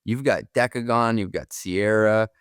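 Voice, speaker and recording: A man's voice: on the low side, a little slow, smooth, dry recording, clean studio sound. A man co-hosting a business podcast, talking casually in informal, colloquial language. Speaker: podcast co-host.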